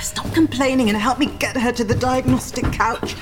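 An actress playing a protective, no-nonsense woman on a starship crew in a science fiction audio drama, brisk and urgent. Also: fairly high pitch, fairly even intonation, over music, close to an everyday voice. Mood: brisk, wry.

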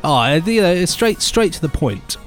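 A man's voice: sits high, normal speed, over music. A man co-hosting an audio drama podcast in casual, jokey chat. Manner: jokey, lively, trailing off into mumbles. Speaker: podcast co-host.

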